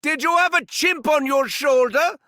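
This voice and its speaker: An actor playing a gleeful, sadistic mad scientist villain in a video game, theatrical and taunting, with a German accent. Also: male voice, extremely high pitch, normal speed, bright, forceful tone, no echo, studio quality. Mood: manic glee. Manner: theatrical, swooping from gleeful shrieks to sinister murmurs. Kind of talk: video game character voice lines.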